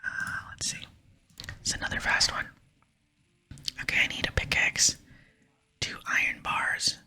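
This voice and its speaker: An ASMR gaming host whispering casual commentary, softly murmuring thoughts aloud while playing. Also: measured pace. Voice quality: soft breathy whisper. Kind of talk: ASMR gameplay commentary, reading dialogue aloud.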